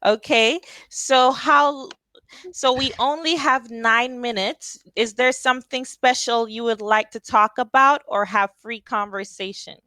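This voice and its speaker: A woman tutoring English over a video call, speaking slowly and patiently and asking simple questions. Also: fairly high pitch, pushed and bright, dry recording. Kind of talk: casual tutoring conversation.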